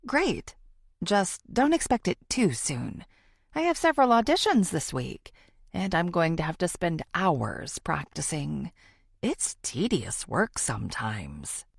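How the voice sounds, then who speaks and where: Woman voicing a charming, easygoing young man, low-pitched. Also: huge pitch swings, takes it slowly, gravelly, slightly rough, no room sound, clean studio sound, full character voice. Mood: friendly. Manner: casual, playful, teasing. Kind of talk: audiobook character dialogue.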